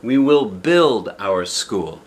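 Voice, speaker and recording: A man's voice: on the low side, sing-song, drawn-out words, full character voice. A man voicing an encouraging woman schoolteacher from a picture book, speaking emphatically.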